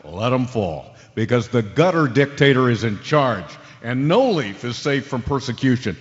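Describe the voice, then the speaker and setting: A man's voice: medium pitch, lively intonation, moderate pace, natural voice. An American man playing a cocky, impatient sports talk radio host in an audio drama, smug and showy. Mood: salesman patter. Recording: dull lo-fi audio, long reverb, music.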